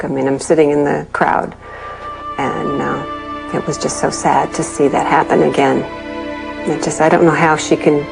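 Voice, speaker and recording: Woman w/ a gravelly lowish voice, emotional, stumbling a bit, music behind. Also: rough recording. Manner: emotional, hesitant. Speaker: interviewee. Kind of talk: casual commentary.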